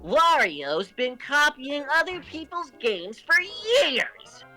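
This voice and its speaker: A man's voice: very high-pitched, big pitch swings, slow pace, bright, forceful tone, soft background music, full character voice. A man voicing a smug, bratty young turtle prince who hosts a web show, in a theatrical, pompous, emphatic cartoon manner. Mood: accusing. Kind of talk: cartoon voice acting in a fan story reading.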